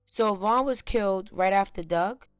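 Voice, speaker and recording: A woman's voice: medium pitch, measured pace, clear, resonant tone, faint music behind. A woman questioning a witness in court as a prosecutor, in a formal and methodical way.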